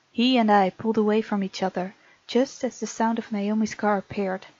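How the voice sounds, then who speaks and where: A woman gamer reading visual novel narration aloud in an expressive, informal way, with casual commentary of her own. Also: medium pitch, no room sound. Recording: muffled mic, game music behind. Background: game music.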